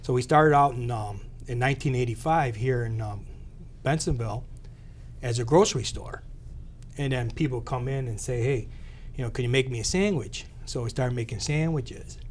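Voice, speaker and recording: Man, late 40s, born in Italy, deli owner, mid pitch, fillers, faint room noise. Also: normal speed. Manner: plain-spoken, many "uh" and "you know" fillers. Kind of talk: local cable interview.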